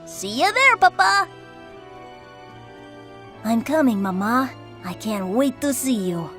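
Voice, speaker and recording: A curious young cartoon kid with a high, bright voice. The delivery swings up and down, wide-eyed, eager and inquisitive. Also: female voice, very animated, slow pace, faint music behind, full character voice. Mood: awed, longing. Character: eager, curious young child missing mama. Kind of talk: animated film voice acting.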